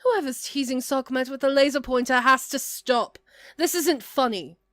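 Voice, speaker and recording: A woman's voice: high voice, moderate pace, a bit bright, dry recording, studio quality. A woman playing a haughty, flippant, volatile god with an arch, theatrical manner in an amateur comic dub. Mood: exasperated. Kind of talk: amateur fandub voice acting.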